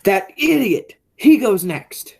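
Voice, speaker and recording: A woman's voice: medium pitch, sing-song, slow pace, vocal fry, warm tone, husky, exaggerated voice. A young woman playing a menacing, murderous man in a radio play. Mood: commanding, menacing. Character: menacing murderous man back after twenty years away. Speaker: high school theatre actor.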